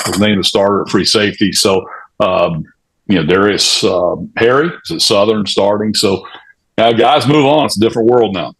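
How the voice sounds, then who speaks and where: A man on a sports talk show, giving unscripted analysis in a halting, conversational way. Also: fairly low pitch, breathy edge, rough recording. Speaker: sports radio show panelist.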